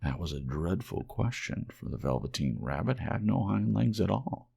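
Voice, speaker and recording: A man narrates a children's storybook as an ASMR audiobook. His voice is deep and husky, with a strong creak. He tells it gently, in hushed storytelling fashion. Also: big pitch swings, moderate pace.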